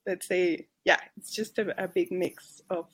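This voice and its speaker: A woman's voice: lowish voice, fairly even intonation, a little slow, slightly rough, rough recording. A woman with a Mexican accent, a podcast guest earnestly telling a case story and often stopping to correct herself. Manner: earnest, careful, with restarts and self-corrections. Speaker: charity advocacy worker.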